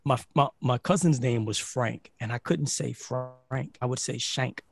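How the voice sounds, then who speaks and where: A man's voice: mid-range voice, measured pace, vocal fry, soft background music. A man from Barbados, a podcast guest, telling stories in casual chat with lots of restarts and "like". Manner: animated storyteller, repeats words and says "like" often.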